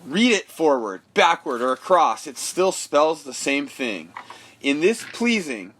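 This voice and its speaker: A man's voice: on the high side, sing-song, a little slow, fairly bright tone. A man recording a personal voice memo, reflecting and reading aloud in a thoughtful way. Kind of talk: casual reflection, then reading an essay aloud.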